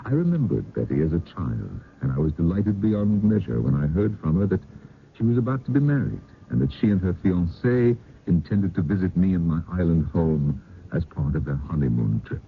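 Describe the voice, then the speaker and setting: A man playing a genial, bookish retired professor in his sixties in an old radio drama, speaking in a measured, courtly way. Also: deep voice, big pitch swings, gravelly, heavily acted. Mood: contented, reminiscing. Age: 60s. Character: genial retired philosophy professor of sixty-five, settled on an island. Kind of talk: old-time radio drama, first-person narration and dialogue. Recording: narrow-band old radio broadcast, faint noise.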